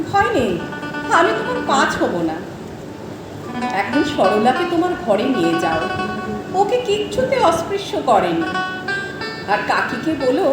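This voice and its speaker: A woman acting a devout, wronged young orphan woman who turns bold protector, with earnest, emotive, drawn-out delivery in a stage audio drama. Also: very high voice, full character voice. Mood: solemn, reproachful. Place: large hall, live stage performance. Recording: echoey hall from far off, music behind.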